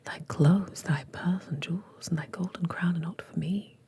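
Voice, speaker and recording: A woman voicing an earnest male storybook frog in a breathy whisper, with a British accent and courtly, measured phrasing. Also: fairly low pitch, steady tone, dark tone, natural voice. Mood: solicitous. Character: male talking frog, earnest, pleading, old-fashioned British.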